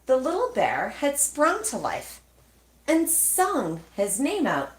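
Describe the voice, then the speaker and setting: A woman reading a children's storybook aloud expressively, with measured, dramatic phrasing and pauses. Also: high voice, huge pitch swings, slight background noise. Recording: echoey, roomy sound.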